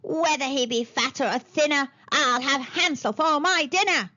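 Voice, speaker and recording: A woman voicing a wicked old witch in a storybook, croaking and cackling as she schemes and feigns kindness. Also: high voice, moderate pace, pushed and bright, highs cut off. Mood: impatient, menacing.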